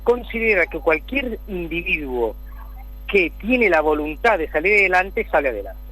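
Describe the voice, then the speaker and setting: A middle-aged man from Spain speaks as a guest in a political interview. His voice sits a little high, and he lays out his points carefully, circling back with repeated words as he thinks. A faint hum runs under the line. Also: very animated, sharp tone, slightly rough, low-quality recording. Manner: measured, explanatory, repeats words while searching. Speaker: guest, city councillor. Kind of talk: political interview over the phone.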